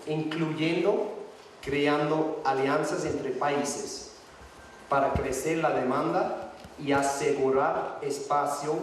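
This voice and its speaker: A man's voice: a little high, recorded from a distance, a little hiss or hum. Hesitant man in an echoey hall. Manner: hesitant, halting non-native Spanish. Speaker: guest speaker. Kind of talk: conference talk, partly read from notes. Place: large conference hall.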